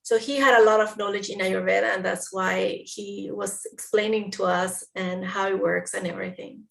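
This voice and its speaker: A woman from Peru with a Peruvian Spanish accent, a guest in a casual interview, speaking haltingly as she searches for words. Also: medium pitch, fairly even intonation, vocal fry, a bit bright. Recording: roomy audio from a distant mic.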